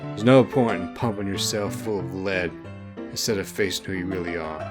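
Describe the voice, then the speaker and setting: An irreverent fantasy rebel, voiced by a man, talks bluntly and with emphasis. He is sardonic and defiant, a storybook troublemaker heard over clear background music. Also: low voice, normal speed, natural voice. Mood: defiant, heated. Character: defiant, irreverent, plain-spoken male rebel subject. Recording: music bed behind the voice.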